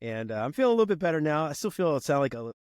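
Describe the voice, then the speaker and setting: A man's voice: a little high, very fast, dry recording, clean studio sound. Congested man, chatty. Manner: relaxed, rambling, frequent fillers and laughs. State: congested from a cold. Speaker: podcast guest, podcast host himself. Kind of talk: casual conversation.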